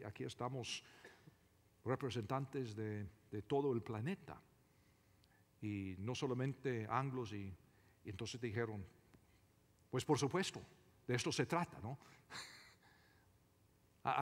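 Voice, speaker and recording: Slow-paced male preacher in an echoing hall. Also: medium pitch. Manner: emphatic, deliberate, repeats phrases. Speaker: pastor preaching to a congregation. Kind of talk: sermon. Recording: reverberant hall with long echo.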